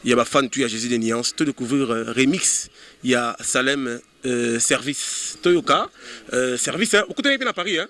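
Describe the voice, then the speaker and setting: A man's voice: mid-range voice, lively intonation, normal speed, bright, forceful tone. A man hosting a casual interview, switching between French and Lingala and often chiming in to echo his guest. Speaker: interviewer, show host.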